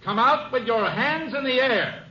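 An actor playing a stern policeman calling out loudly and slowly over a loudspeaker in a 1950s radio drama. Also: very high-pitched, full character voice. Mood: stern, demanding. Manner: loud, slow, declamatory. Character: stern policeman shouting an ultimatum through loudspeaker. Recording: muffled, echoing 1950s radio recording.

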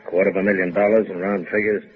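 An American actor playing a smug, menacing ship captain in a 1950s radio drama, terse and mocking. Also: male voice, low voice, level tone, fast, natural voice. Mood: smug demanding. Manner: terse, coolly threatening, mocking. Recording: noisy, phone-like old radio recording.

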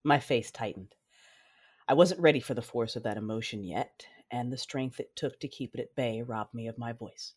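A woman playing a hardened, guarded warrior mother who narrates an audio drama in a terse, measured way. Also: very deep voice, a little mellow, slightly rough. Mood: brooding.

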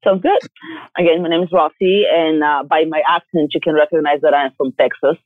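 A woman from Bulgaria with a Bulgarian accent, a yoga teacher and coach talking in a direct, forceful way and laughing often. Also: low voice, steady tone, a little fast, no room sound. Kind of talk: casual interview talk. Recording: tinny phone line.

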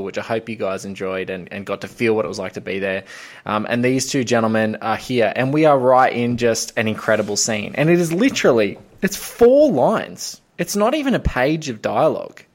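A man's voice: on the low side, normal speed. A man hosting a film podcast in a giddy, effusive, emphatic way. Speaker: podcast host. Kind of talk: enthusiastic film podcast introduction.

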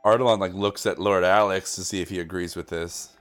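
A man's voice: deep voice, fairly even intonation, a little fast, a bit bright, soft background music. A man narrating as game master in a tabletop game, talking casually and filling his sentences with "like". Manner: casual narration, frequent "like" fillers.

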